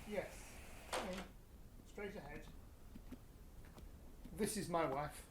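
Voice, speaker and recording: A man's voice: fairly high pitch, slow pace. A British actor playing a stunned middle-aged father, hesitant and understated, in a radio play. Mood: stunned, subdued. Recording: echoey room with faint noise.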